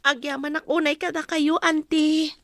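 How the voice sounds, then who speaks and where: High-voiced humble Filipino niece. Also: female voice, level tone, normal speed, fairly bright tone, no room sound. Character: humble, forgiving young niece, a student. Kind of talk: radio drama acting.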